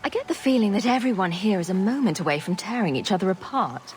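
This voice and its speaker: A woman's voice: mid-range voice, lively intonation, fast, background noise, natural voice. A woman voicing a sardonic, confident noble adventurer in a video game, with a dry, wry delivery. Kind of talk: video game cutscene acting.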